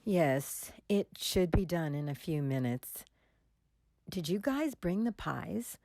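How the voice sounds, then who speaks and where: A woman playing a lonely single woman who feels mocked by her family, in a radio drama, with a gravelly, slow voice. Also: on the low side, expressive, a little mellow, no room sound, studio quality. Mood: welcoming. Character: lonely single middle-aged woman, hurt, self-doubting.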